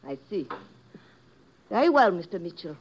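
A menacing femme fatale. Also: female voice, lowish voice, big pitch swings, normal speed, husky, full character voice, rough recording. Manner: stilted formal English, threatening. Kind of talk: 1950s radio drama acting. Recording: old radio recording with noise.

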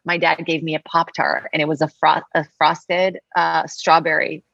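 Husky low-voiced woman telling animated stories. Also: moderate pace, no room sound, dull audio. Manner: animated storytelling, acting out family voices. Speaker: comedian guest. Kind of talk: casual podcast chat.

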